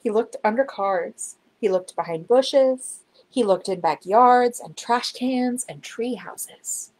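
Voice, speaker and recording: A woman reading a children's storybook aloud in an expressive, dramatic storytelling manner. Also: fairly high pitch, takes it slowly. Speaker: children's book reader. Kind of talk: storybook narration, read aloud.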